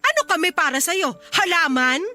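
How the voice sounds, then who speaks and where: A woman playing an expressive young wife and mother in a radio drama, emphatic and full of pointed questions. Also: very high voice, normal speed, full character voice. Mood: exasperated, reproachful. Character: exasperated young wife and mother, teasing then reproachful. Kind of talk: radio drama acting in dialogue. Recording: music under the voice. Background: background music.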